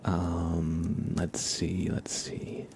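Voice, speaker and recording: A very low, crackly male voice speaks softly, half whispering at times, in a casual ASMR stream. He rambles along informally with small halting pauses. There is light noise behind him, with keyboard typing. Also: monotone, creaky. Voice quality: soft, hushed, partly whispered. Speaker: livestream host.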